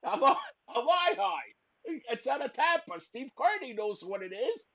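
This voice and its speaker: A man hosting a wrestling podcast, talking loudly and teasing his guests, rambling with lots of fillers. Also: very high voice, big pitch swings, a little slow, muffled recording, crowd noise. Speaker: podcast host. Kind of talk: loud joking wrestling podcast chat.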